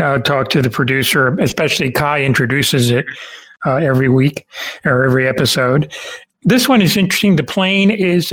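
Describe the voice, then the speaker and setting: A man's voice: on the high side, a bit bright, low-quality recording. A man hosting a podcast interview in a casual, effusive and informal way. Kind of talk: casual enthusiastic interview chat.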